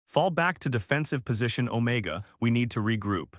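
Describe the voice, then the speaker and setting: A man's voice: medium pitch, lively intonation, fairly quick, no room sound, clean studio sound, lightly acted. A man reading as a grim, blunt alien admiral in a science fiction story, with formal, clipped military speech. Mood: snapping, commanding.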